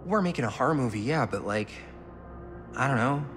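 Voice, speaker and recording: Casting: awkward, earnest American guy, young adult male actor, game cutscene, casual and hesitant, scored with clear music. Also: medium pitch, expressive, a little fast, close to an everyday voice. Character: earnest, awkward young amateur filmmaker with a girlfriend. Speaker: video game character. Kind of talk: naturalistic game cutscene acting.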